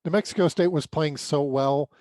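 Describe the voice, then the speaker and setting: A man co-hosting a sports podcast, giving conversational, unscripted game recaps and analysis with some halting, repeated words. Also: on the high side, a little mellow, dry recording, clean studio sound.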